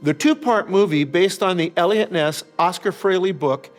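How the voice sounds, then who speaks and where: An older American man giving reflective, explanatory commentary as an author and host, measured and articulate, with parenthetical asides. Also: high-pitched, faint music behind. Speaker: author and series host discussing his novels. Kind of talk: reflective explanatory commentary, semi-scripted narration.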